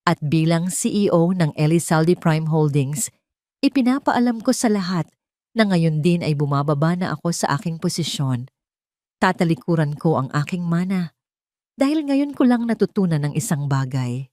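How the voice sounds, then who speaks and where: A woman's voice: sits low, expressive, normal speed, darkish tone, dry recording. Casting: earnest Filipino CEO father, determined and remorseful, audio drama, read by a woman, dry sound. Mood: resolute, remorseful. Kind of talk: audio story character acting.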